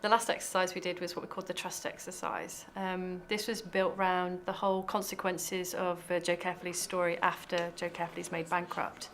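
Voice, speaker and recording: A woman's voice: fairly low pitch, little pitch movement, fairly quick, hardly any pauses, very noisy. A woman workshop organiser explaining activities in an interview. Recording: clear crowd chatter behind.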